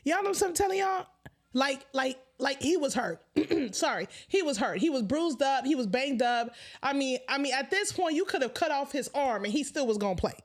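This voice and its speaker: High-voiced animated woman host with a stutter. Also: normal speed. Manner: animated, laughs often, stutters. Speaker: live-stream host. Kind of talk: casual live-stream chat.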